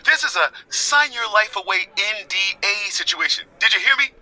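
A man playing a self-important, menacing theatre producer with a forceful, sardonic delivery. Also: sits high, expressive, normal speed, soft background music, full character voice. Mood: emphatic, bossy. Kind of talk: scripted audio comedy acting.

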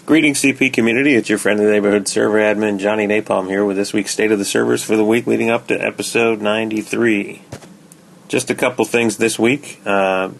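A man with a fairly low voice delivers an informal community report. His intonation stays very flat, and he feels his way through the details with some hesitation. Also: fairly quick, creaky, slight background noise. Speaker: server admin giving a weekly report. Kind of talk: casual podcast segment report.

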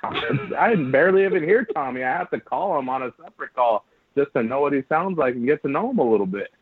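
A man's voice: medium pitch, expressive, fast talker, slightly rough, no room sound. A man co-hosting a podcast, chatting casually and joking with his co-hosts. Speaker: podcast co-host. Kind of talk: casual chat. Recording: dry, phone-like line.

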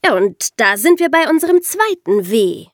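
A woman's voice: high-pitched, sing-song, normal speed, over loud music. A woman co-hosting a podcast, explaining things conversationally and addressing the listeners directly. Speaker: podcast co-host.

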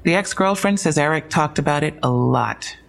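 A woman's voice: sits low, expressive, normal speed, vocal fry. An actress playing a matter-of-fact young female police detective in an audio drama, briefing conversationally with dry, wry asides.

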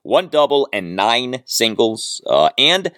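A man hosting a solo sports podcast, speaking in an emphatic, deliberate way as he delivers commentary and reads. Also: mid-range voice, sing-song, fairly bright tone, clean tone, dry recording, studio quality. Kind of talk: solo sports commentary and host-read ads.

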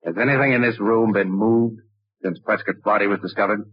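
Casting: male actor as wisecracking, sardonic sleuth, rapid delivery, old radio crime show, dull vintage broadcast sound. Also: on the low side, no echo, natural voice. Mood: insistent, sardonic. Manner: sardonic, insistent. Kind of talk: old-time radio detective drama acting.

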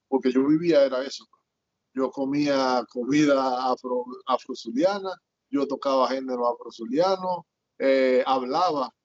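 A middle-aged man from Zulia, Venezuela, with a Zulian accent, telling his life story as a guest in an interview and rambling with many fillers and restarts. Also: medium pitch. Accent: Zulian Venezuelan Spanish. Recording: dull lo-fi audio.

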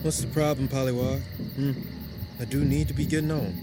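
An actor playing a wary, defiant garden keeper in an audio drama. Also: male voice, medium pitch, steady tone, brisk, natural voice. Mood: guarded. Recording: loud music behind the voice.

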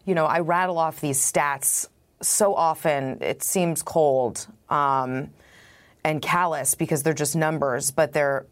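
A woman's voice: low-pitched, steady tone, slow pace. A woman hosting an interview podcast, asking her guest direct, conversational questions. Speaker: podcast host.

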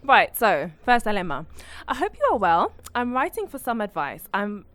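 A woman's voice: medium pitch, sing-song, normal speed, a bit bright. Woman co-host reading a listener letter. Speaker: podcast co-host. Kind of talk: reading a listener's email aloud.

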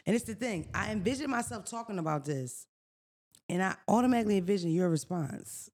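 A woman with a raspy voice co-hosting a podcast, chatting casually in a blunt, profane, storytelling way. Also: medium pitch, expressive, fairly quick, darkish tone. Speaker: podcast co-host. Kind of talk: casual chat.

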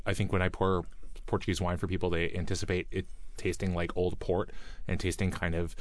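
A man with a fairly low voice gives his take on a wine as a radio studio guest. He muses thoughtfully, hedging with "you know" and "sort of". The sound is dry and close. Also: level tone, fairly quick, dry recording.

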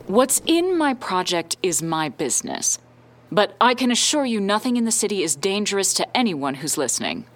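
A woman's voice: mid-range voice, big pitch swings, normal speed, lightly acted. A woman playing a guarded, authoritative scientist being interviewed in an audio drama, curt and controlled in her answers.

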